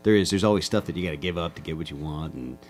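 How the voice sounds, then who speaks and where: A man's voice: extremely low pitch, racing, darkish tone, faint music behind. A middle-aged man, a guitarist chatting casually and joking in a radio interview. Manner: easygoing, joking, rambling. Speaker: guitarist in a duo.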